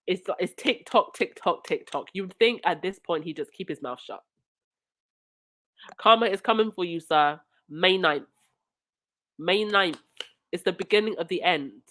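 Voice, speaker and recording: A woman's voice: fairly low pitch, expressive, measured pace, long pauses, fairly bright tone. Woman hosting a live stream with a cold. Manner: indignant, talks back to chat and supporters. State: stuffy nose from a cold. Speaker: livestream host. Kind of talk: live chat stream reading comments.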